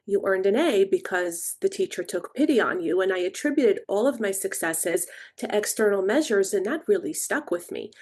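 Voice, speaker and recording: A middle-aged woman from Israel, a coach being interviewed on a podcast, who explains herself in a thoughtful, measured way. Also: mid-range voice, darkish tone.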